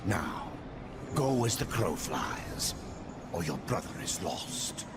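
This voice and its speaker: An actor playing a cryptic otherworldly guide in a video game, speaking in a solemn, theatrical, gravelly voice. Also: male voice, very animated, takes it slowly, heavily acted. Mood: cryptic warning. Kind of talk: video game cutscene acting. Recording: noisy game audio with loud rumbling ambience.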